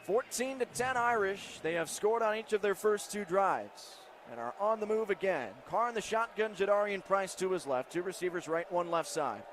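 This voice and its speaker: A male American play-by-play announcer pitched very high, calling football live on the radio. His delivery is dramatic, moving between measured detail and excited calls. Loud stadium noise fills in behind him. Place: stadium broadcast booth. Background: loud stadium ambience.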